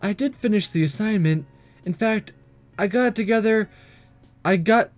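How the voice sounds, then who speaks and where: Man reading as an earnest, high-voiced schoolgirl class rep. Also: normal speed, soft background music, close to an everyday voice. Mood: earnest, hesitant. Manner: hesitant, careful, formal wording. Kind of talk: visual novel dialogue read aloud.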